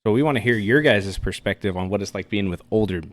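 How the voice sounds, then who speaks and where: A man's voice: fairly low pitch, fast talker. A man in his thirties co-hosting a podcast, chatting casually in a teasing, joking way. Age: 30s. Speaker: podcast co-host. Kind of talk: casual chat.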